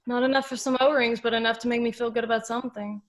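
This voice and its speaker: A woman reading the part of a lonely, grieving teenage girl at a table read, talking quietly to the camera. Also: a little high, level tone, fast talker, close to an everyday voice. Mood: wry. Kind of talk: table read of a film script.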